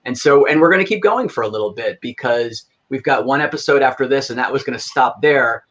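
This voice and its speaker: A man's voice: medium pitch, fairly quick, fairly bright tone, muffled recording. A man talking in a casual, conversational style as part of a group chat.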